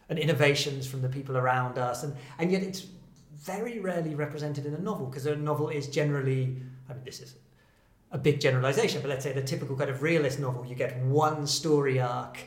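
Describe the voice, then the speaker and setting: A man of middle pitch hosts a literary interview, thinking out loud as he frames his questions. The room adds a noticeable echo to his voice. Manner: engaged, musing, frequent affirming murmurs. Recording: roomy echo.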